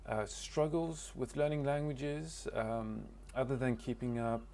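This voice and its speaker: A man's voice: mid-range voice, fairly even intonation, a little slow, a little mellow, a little hiss or hum. A man from Cornwall, introducing himself to camera in a casual, hesitant way, often stopping to search for words. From Cornwall, England. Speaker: language learner.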